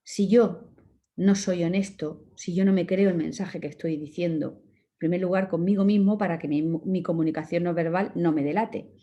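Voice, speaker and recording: Woman, Murcian Spanish accent, lowish voice, calm instructive lecture, slightly muffled online audio. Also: moderate pace. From Murcia, Spain. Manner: calm, instructive, gives direct advice in imperatives. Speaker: communication course instructor. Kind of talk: online lecture to course participants.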